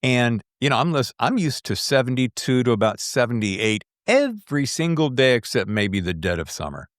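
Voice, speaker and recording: An American man hosting a conversational interview, reflective and halting as he circles back through his thoughts. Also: medium pitch, very animated, dry recording, studio quality. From American South. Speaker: podcast host interviewing an author.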